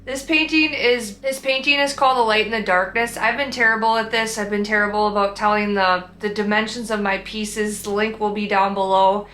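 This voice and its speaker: A woman's voice: medium pitch, fairly even intonation, brisk, hardly any pauses, bright, forceful tone, reverberant. A young woman with a Minnesotan accent, chatting casually and rambling about her own painting in a vlog, with lots of fillers and restarts. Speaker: artist vlogger.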